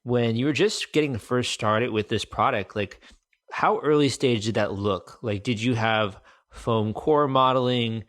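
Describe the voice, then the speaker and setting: A man's voice: medium pitch, a little slow, fairly bright tone. A man hosting a podcast, asking casual, curious interview questions. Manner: casual, curious, uses "like" a lot. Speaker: podcast host.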